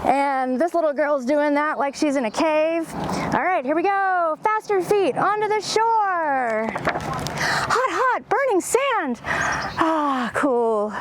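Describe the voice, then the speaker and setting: A woman's voice: high voice, lively intonation, slow pace, noisy background. A woman hosting storytime for young children, reading aloud expressively and adding chatty, enthusiastic asides. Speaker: children's librarian, storytime host.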